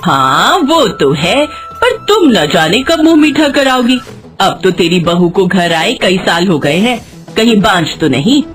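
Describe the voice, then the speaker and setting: A woman puts on a prying older grandmother from next door in a village tale. She is boastful and gossipy, with very animated intonation. Music is clearly heard behind her. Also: mid-range voice, unhurried. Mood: taunting. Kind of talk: Hindi moral story acting.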